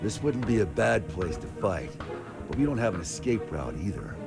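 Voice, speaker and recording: A man playing a gruff, blunt, world-weary fighter in a video game, terse and dry. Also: on the low side, very animated, normal speed, music in the background. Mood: grim, dry. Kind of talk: English game voice acting.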